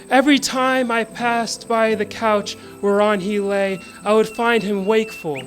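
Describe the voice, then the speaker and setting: Woman, slow measured storytelling, reverent dramatic reading, music and birdsong behind. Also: mid-range voice. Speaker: dramatic reader of a historical account.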